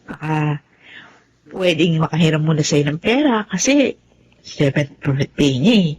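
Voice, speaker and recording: An actress playing a pleading, touchy older sister in a Filipino radio drama. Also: female voice, deep voice, expressive, a little slow, darkish tone, slight background noise. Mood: wheedling.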